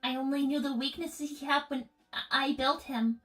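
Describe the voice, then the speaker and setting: Casting: female actor as despondent young android girl, high voice, precise formal phrasing, withdrawn, cartoon livestream with faint music behind. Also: steady tone, moderate pace, a bit bright. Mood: hopeless. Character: young girl robot, solemn, withdrawn, despondent little sister. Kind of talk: improvised in-character livestream roleplay.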